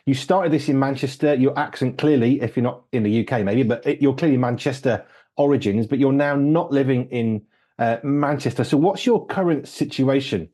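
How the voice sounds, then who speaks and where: Mellow, husky male interviewer. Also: medium pitch, moderate pace. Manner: affirming, with short agreements. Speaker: podcast and radio host.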